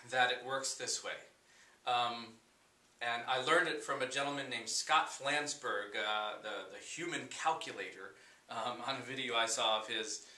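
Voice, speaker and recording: A man in his forties gives a math lesson at a chalkboard, unhurried and full of wonder. His voice is bright and forward, picked up by a camera some distance away in a roomy, echoing space. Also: mid-range voice. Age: 40s. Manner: slow, unhurried, wondering, with fillers. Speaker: teacher. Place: room with a chalkboard, indoors. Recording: echoey room, voice from a distance.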